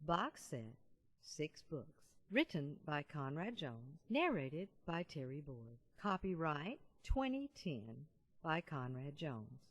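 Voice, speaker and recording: A woman reading nonfiction audiobook narration in a measured, carefully enunciated way. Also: low-pitched, darkish tone, no echo. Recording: dull, muffled lo-fi voice-over.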